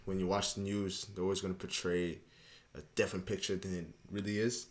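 A man's voice: low voice, little pitch movement, fairly quick. A young man from Canada, chatting loosely as a podcast guest and often checking in with "you know what I mean". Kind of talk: casual interview chat.

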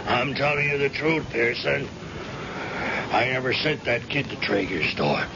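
An actor playing a bitter, gruff retired gangster confined to an iron lung in an old-time radio drama, talking in defensive tough-guy slang. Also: mid-range voice, animated, measured pace. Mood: defensive, insistent. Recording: muffled, very noisy vintage radio recording.

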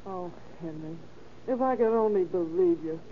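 An actress playing a weary, long-suffering wife who scolds earnestly in an old-time radio melodrama. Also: female voice, lowish voice, moderate pace, music in the background, natural voice. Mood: reproachful, pleading. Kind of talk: 1930s radio melodrama acting. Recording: dull, hissy old radio recording.

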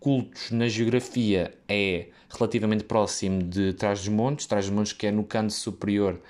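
A man's voice: lowish voice, steady tone, normal speed, a little mellow. A young man with a European Portuguese accent tells a casual, rambling story about his work as a waiter, full of fillers, restarts and side tracks.

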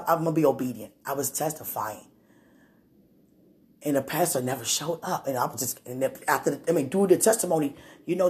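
Woman preacher, deep voice, animated testimony style, loud then hushed.

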